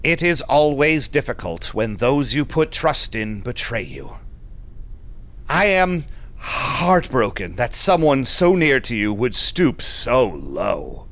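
A man's voice: mid-range voice, sing-song, takes it slowly, a bit bright. A man playing a shady, condescending vampire businessman in an audio drama, with an unctuous, theatrical, confiding manner. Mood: mock sympathy. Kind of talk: audio drama acting with narrated asides.

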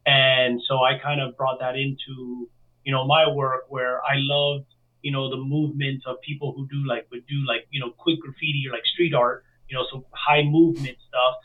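A man's voice: medium pitch, flat delivery, moderate pace. A man from Miami speaking as an interview guest, casually telling his own story over a remote call. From Miami, United States. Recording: distant, thin phone-like call audio.

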